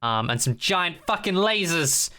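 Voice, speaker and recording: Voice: medium pitch, expressive, unhurried, pushed and bright. A podcast host, chatting in a rambling, jokey, sweary recap and mockingly acting out quotes. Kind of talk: casual rambling recap chat.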